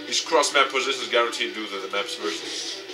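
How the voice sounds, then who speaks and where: A man reading a visual novel aloud as a let's player, with expressive dramatic narration. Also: medium pitch, fairly quick, music in the background. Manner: expressive, acts out dialogue.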